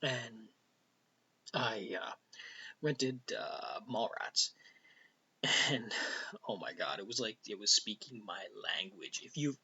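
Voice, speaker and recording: A man's voice: lowish voice, slow, pauses often, sharp tone, slightly rough, muffled recording. A middle-aged Canadian man with a Canadian accent, rambling casually as host of his own vlog, often sliding into a near whisper. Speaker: vlogger host.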